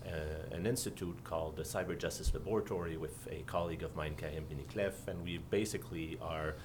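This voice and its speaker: A man from Quebec with a Quebec French accent, a visiting law professor speaking haltingly with many fillers in a podcast interview. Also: rumbling low, fairly even intonation, a little hiss or hum. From Quebec, Canada.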